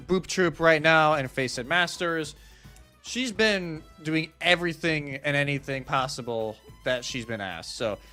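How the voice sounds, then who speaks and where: A man's voice: fairly high pitch, bright, forceful tone. A man working as an esports caster, giving a casual, conversational match preview with emphatic phrasing. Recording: music playing behind. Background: background music.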